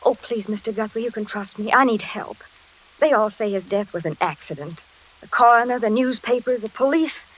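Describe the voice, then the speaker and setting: A woman's voice: a little high, a little fast, close to an everyday voice, poor audio. An actress playing an earnest, anxious but determined young woman in 1940s radio drama style. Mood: urgent, pleading. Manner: earnest, hesitant then insistent.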